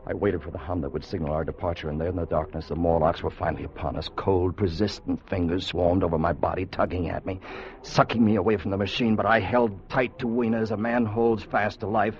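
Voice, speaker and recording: An actor playing an earnest, protective time traveller who narrates with solemn, theatrical intensity in a 1950s radio drama. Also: male voice, sits low, fairly even intonation, a little fast, darkish tone, close to an everyday voice. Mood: tense. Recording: muffled vintage radio recording with music.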